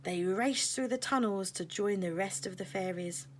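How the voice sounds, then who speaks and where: A woman's voice: mid-range voice, moderate pace, a little hiss or hum. A woman narrating a children's picture book aloud as a scripted story.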